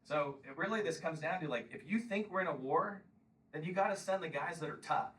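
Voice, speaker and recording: A man's voice: a little high, brisk, fairly bright tone, recorded from a distance, poor audio. A man in his fifties giving a combative campaign speech and taking questions at a local meeting, often pressing "Okay?". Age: 50s. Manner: combative, emphatic, repeats "Okay?". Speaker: congressional candidate.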